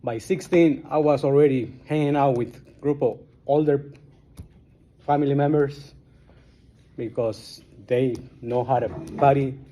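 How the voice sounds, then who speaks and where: A man in his forties from Guatemala with a Guatemalan Spanish accent, speaking English as a second language and telling his recovery story at a meeting in a plain, halting way with many restarts. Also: mid-range voice, steady tone, warm tone, low-quality recording.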